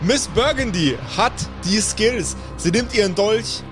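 A high-voiced male game master tells an improvised fantasy adventure. His delivery is descriptive and dramatic, and music plays behind him. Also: animated, a little slow. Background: background music.

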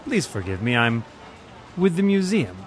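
An actor playing a pompous, mysterious crime-fighter in a melodramatic parody of old-time radio acting. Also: male voice, mid-range voice, sing-song, moderate pace, a little hiss or hum, close to an everyday voice. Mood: pompous, probing. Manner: melodramatic, grandly theatrical.

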